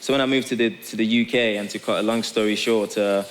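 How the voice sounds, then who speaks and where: A man from Nigeria speaking as a guest in a live on-stage interview, pausing often as he explains. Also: on the low side, steady tone. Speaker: guest tech company founder. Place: theatre stage before an audience. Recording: live stage, clear hiss noise.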